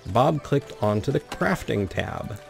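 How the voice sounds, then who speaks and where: A man's voice: medium pitch, very animated, slow, vocal fry, faint music behind. A man hosting a gaming video, commenting on play and reading the game's story text aloud. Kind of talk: let's play commentary mixed with reading in-game narration aloud.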